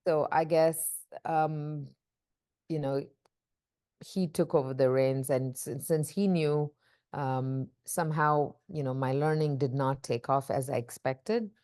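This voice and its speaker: A middle-aged woman from India with an Indian accent, telling her life story casually in an interview and filling pauses with "you know". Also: low voice, dark tone. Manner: chatty, leans on "you know" fillers, laughs easily. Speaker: oral history interviewee.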